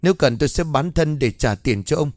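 A man's voice: fairly high pitch, lively intonation, a little fast, darkish tone, no echo, voice pushed up, close to an everyday voice. A man voicing a desperate poor mother who pleads and wails for her sick son. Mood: pleading. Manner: wailing, pleading.

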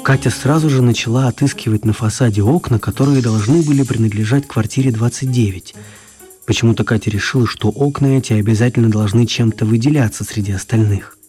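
A man's voice: mid-range voice, brisk, faint music behind. A man narrating a children's radio play. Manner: even, storytelling. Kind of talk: scripted storybook narration.

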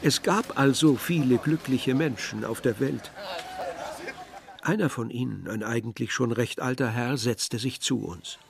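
A reflective narrator recalling a journey for a literary radio drama. He has a mid-range voice with marked fry and a measured, wistful delivery. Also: medium pitch, noisy background. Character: male narrator recalling his youthful journey, wistful country observer.

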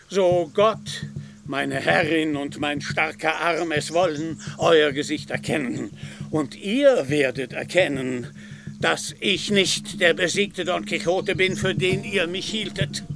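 Ein Schauspieler spielt einen stolzen, verblendeten alten fahrenden Ritter, der in einem Hörspiel pompös und altertümlich deklamiert. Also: male voice, fairly high pitch, vocal fry. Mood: boastful. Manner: grandiose, archaic declamation. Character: proud, deluded old knight-errant, pompous and fervent. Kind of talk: radio play acting.

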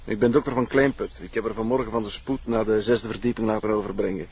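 An actor playing a matter-of-fact, formal hospital doctor, measured and clinical, in a crime drama. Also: male voice, lowish voice, fairly even intonation, darkish tone, husky, lo-fi sound. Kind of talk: broadcast crime drama acting.

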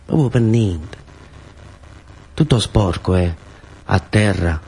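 A man's voice: low voice, slow, creaky, a little mellow, breathy edge. A man with a southern Italian accent telling a first-person theatre story in short phrases with incantatory repetition. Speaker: actor-storyteller.

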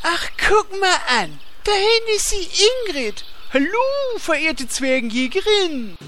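Woman acting a naive adventurer, high swooping voice, unhurried, colloquial, comedy radio play, some background noise. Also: sing-song, over music, full character voice. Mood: cheerful. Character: naive excitable fantasy hero who dotes on a riding ram. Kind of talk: comedy audio drama acting.

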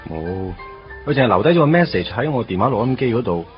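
A man's voice: mid-range voice, animated, quick pace, recorded from a distance, close to an everyday voice. An actor playing a wistful man in his late twenties pining for his first love in a radio drama, speaking Cantonese with a Hong Kong accent in a nostalgic, storytelling way. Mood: troubled, hopeful. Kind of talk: radio drama acting, first-person narration. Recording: dull lo-fi radio recording. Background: music.

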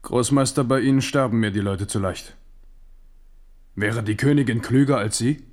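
An actor playing a weary, shrewd king in a German radio play, speaking with measured, deliberate irony. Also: mid-range voice, a little mellow, natural voice. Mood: firm, reproachful. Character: weary, shrewd French king Henri, conciliatory but firm.

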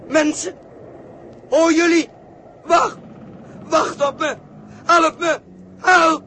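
Radio drama: an injured, bewildered man. Slow, sharp-edged, anguished delivery, struggling through pain. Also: extremely high pitch, steady tone, slight background noise, full character voice. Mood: desperate, pleading. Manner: halting, repeating words, anguished. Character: desperate injured man named Joost, hallucinating, bewildered. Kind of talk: 1960s Dutch radio play acting. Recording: husky-sounding old 1960s radio recording.

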